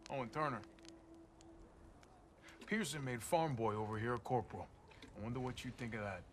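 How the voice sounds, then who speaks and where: An actor playing a wisecracking soldier who needles the others sarcastically. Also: male voice, mid-range voice, moderate pace, slight background noise, natural voice. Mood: sarcastic. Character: mocking, wisecracking male soldier. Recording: game scene with gunfire behind.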